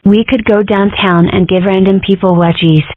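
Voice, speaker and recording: A woman voicing a mischievous young fox girl with cheeky, deadpan jokes in an amateur comic dub. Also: medium pitch, normal speed, dry recording, close to an everyday voice. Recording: dry, slightly lo-fi home recording.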